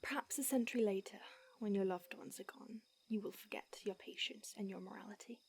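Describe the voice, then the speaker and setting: A woman voicing a calm, centuries-old female vampire mentor in an audio roleplay, speaking in a soft, hushed whisper. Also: medium pitch, fairly quick, a little hiss or hum, lightly acted. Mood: grave.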